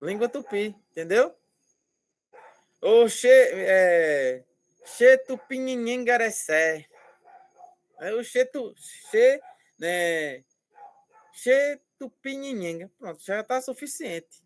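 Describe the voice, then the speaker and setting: A male language teacher explaining slowly and patiently over a video call, repeating words and checking that students follow. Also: high-pitched, animated, no echo. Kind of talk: online class explanation.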